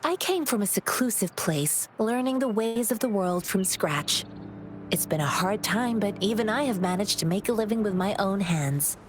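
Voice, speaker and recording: An actress voicing a calm, kind young woman wanderer in a game, measured and fond of proverbs. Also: mid-range voice, slight background noise, natural voice. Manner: serene, measured, speaks in proverbs. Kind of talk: video game voice acting. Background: music.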